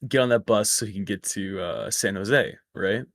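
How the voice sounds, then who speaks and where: A man with a slightly raspy voice, rambling through a story in casual chat over a voice call. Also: on the low side, normal speed, no room sound. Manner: rambling, self-correcting storyteller. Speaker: stream guest. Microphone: close microphone.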